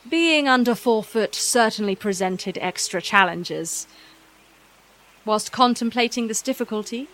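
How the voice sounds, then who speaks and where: A woman's voice: medium pitch, a bit bright, rough recording. Female storyteller for scripted fantasy narration, medium register, light ambient noise underneath. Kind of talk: scripted fairy-tale narration.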